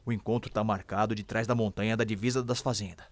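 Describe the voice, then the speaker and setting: A man's voice: lowish voice, steady tone, fast talker, dry recording, natural voice. An actor playing a determined, protective enslaved man in a radio drama, speaking firmly and colloquially. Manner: firm, colloquial. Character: determined enslaved man, protective older brother, escape leader.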